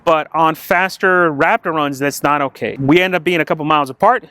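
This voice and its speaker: A man with a slightly high-pitched voice hosts a do-it-yourself vehicle video. He explains each step casually and conversationally, as if chatting with fellow hobbyists. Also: brisk, pushed and bright, smooth, dry recording. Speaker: host of an off-road vehicle channel. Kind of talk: casual install tutorial to camera.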